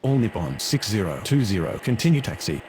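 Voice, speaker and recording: A man's voice: on the low side, very animated, a little fast. A man giving procedural, scripted air traffic control radio phrases. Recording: loud engine-like background noise.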